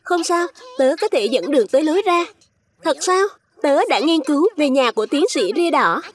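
High-pitched plucky young fruit hero voiced by a woman. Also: lively intonation, normal speed. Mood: confident. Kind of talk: children's cartoon dubbing.

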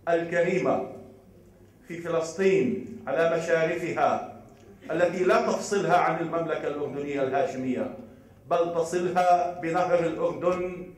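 A man moderating a conference, reciting formal verse and joking with the audience from the podium. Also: high-pitched. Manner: jovial, jokes with audience. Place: large conference hall. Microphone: podium microphone from far off. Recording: echoey hall, distant mic.

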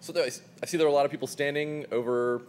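A young man takes the stage of a large conference hall and makes a quick host announcement. He talks very fast over a faint background hiss. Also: fairly high pitch, fairly even intonation.

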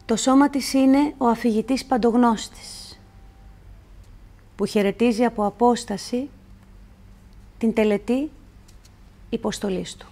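A woman reciting literary poetry in a measured, deliberate way, with pauses between phrases. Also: fairly high pitch, fairly even intonation, mellow. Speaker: poetry reader.

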